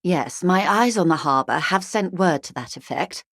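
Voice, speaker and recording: A woman's voice: lowish voice, expressive, normal speed, fairly bright tone, dry recording, clean studio sound. A woman playing a poised, wealthy, shrewd corporate negotiator in a video game, speaking formally and with polite, guarded care. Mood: measured, courteous. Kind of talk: video game character dialogue.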